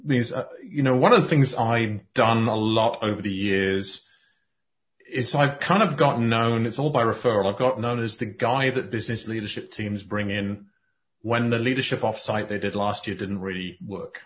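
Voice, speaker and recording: Man, podcast host, low voice, animated, muffled lo-fi recording. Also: normal speed. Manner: animated, restarts often, bursts of speed. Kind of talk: casual conversation. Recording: muffled lo-fi audio.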